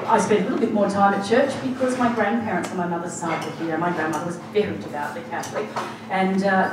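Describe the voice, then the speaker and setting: A woman's voice: medium pitch, background noise. A woman giving a reflective personal talk at a public forum, with frequent ums and restarts. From Australia. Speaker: forum speaker. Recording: echoey hall recorded from a distance.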